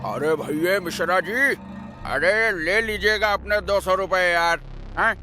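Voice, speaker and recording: A man playing a chatty, folksy property broker, effusive and eager to please in a comic animated story style. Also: high-pitched, measured pace, music in the background. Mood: hurried, irritated. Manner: effusive, colloquial, ingratiating.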